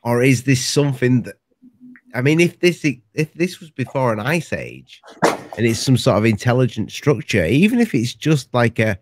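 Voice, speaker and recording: A man's voice: mid-range voice, sing-song, moderate pace, no echo. A man chatting informally as an interviewer. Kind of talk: casual chat.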